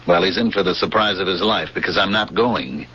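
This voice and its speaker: An actor playing a male ex-smuggler space pilot who is frightened and insistent, with a halting, tense delivery, in a 1970s radio drama. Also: deep voice, close to an everyday voice. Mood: fearful. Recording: dull 1970s radio broadcast.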